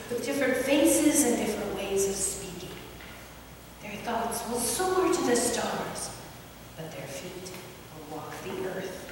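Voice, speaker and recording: A woman as a slow, solemn old turtle, echoing. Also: medium pitch, close to an everyday voice. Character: wise, gentle, ancient female turtle speaking of God. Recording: echoey hall, distant mic, background noise.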